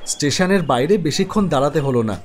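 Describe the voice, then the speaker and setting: A young man narrating an animated horror story in the first person, conversational and reflective. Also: fairly high pitch, fast talker, a faint crowd. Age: young adult. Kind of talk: scripted storytelling.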